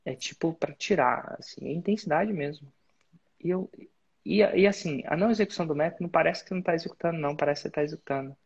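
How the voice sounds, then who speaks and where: Husky man coaching students. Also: a little high, fast talker, muffled recording. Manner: direct, instructive, uses sports-belt metaphors. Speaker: business mentor and host. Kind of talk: live coaching session.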